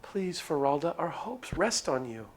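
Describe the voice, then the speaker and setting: A man's voice: on the high side, big pitch swings, unhurried, pitched above the performer's own voice. A man voicing an earnest young village woman from a visual novel, pleading emphatically as she persuades. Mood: pleading, urgent. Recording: music under the voice.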